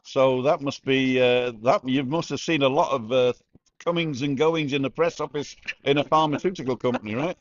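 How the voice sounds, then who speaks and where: A man's voice: mid-range voice, normal speed. A man in his sixties hosting a vlog, chatting and asking lots of questions in a bar. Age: 60s. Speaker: vlogger host. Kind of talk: casual chat. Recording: slightly dull sound.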